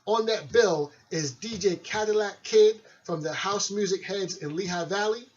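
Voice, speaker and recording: A man's voice: high-pitched, moderate pace. A man hosting and DJing a radio show, talking in a chatty, friendly, loose way. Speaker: radio host and DJ. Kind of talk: casual radio show talk. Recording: dull lo-fi recording.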